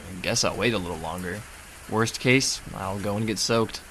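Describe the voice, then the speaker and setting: A man acting a weary, laid-back and mildly wry schoolteacher in a fan dub of a game, with a low-key, sighing delivery. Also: normal speed, a bit bright, a little hiss or hum, natural voice, poor audio. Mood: resigned, wry.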